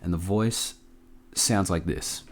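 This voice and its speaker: A man with a cold presents a casual software tutorial in an offhand, halting, low-key way, speaking close to the microphone. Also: slight background noise. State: sick with a cough.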